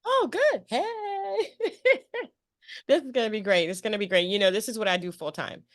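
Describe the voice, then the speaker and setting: A woman hosting a casual interview, expressive and emphatic, often repeating phrases to drive a point home. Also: fairly high pitch, moderate pace, no room sound, studio quality. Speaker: interview show host. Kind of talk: casual chat.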